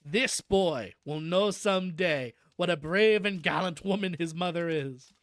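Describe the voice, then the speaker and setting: A streamer voicing an elderly male professor who speaks slowly and earnestly, each phrase weighted with tender emphasis. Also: mid-range voice, no room sound, clean studio sound, close to an everyday voice. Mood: solemn, moved.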